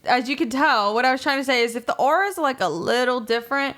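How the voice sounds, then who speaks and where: A woman's voice: high-pitched, lively intonation, quick pace, fairly bright tone. A woman co-hosting a podcast in casual banter, blunt, sweary and self-deprecating. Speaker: podcast co-host.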